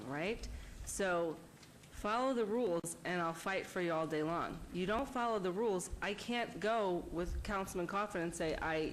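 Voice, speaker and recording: A woman's voice: on the low side, slight background noise, poor audio. A woman council member speaking bluntly and emphatically, lecturing an applicant at a public hearing. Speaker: city councilwoman. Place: city council chamber.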